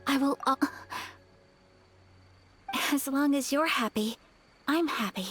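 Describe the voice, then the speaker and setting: Sweet polite young noble lady in an anime game, high soft voice, takes her time, earnest. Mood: warm, earnest. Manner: soft, polite, measured, sincere. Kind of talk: anime-style video game voice acting. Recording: faint background music.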